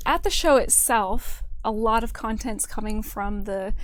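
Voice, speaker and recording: Woman guest on a chat show, fairly high voice, explaining stuff casually. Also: unhurried. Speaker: guest, customer service manager and event scheduler. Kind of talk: casual interview answers.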